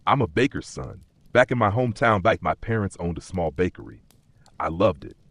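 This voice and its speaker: A man playing a weary, doubtful corporate worker in a dark fantasy audio story, plain-spoken and skeptical. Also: sits low, big pitch swings, brisk, no room sound, heavily acted. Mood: resigned.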